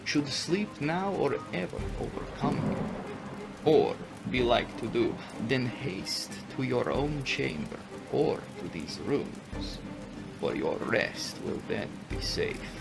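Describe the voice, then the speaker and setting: An old vampire nobleman played by a male reader speaks with courtly menace in a gothic audiobook. He is slow, deliberate and ominous, swinging widely in pitch over loud background music. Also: animated, creaky. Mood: grave warning. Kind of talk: dramatic audiobook reading. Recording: music behind the voice.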